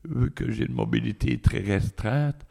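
An older man, out of breath, answering interview questions in a halting, hesitant way. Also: mid-range voice, gravelly, dark tone. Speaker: interviewee, elderly resident.

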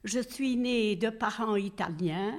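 A woman's voice: mid-range voice, slow. An older woman from Belgium, a nursing home resident chatting at length with many fillers. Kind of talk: casual interview answers.

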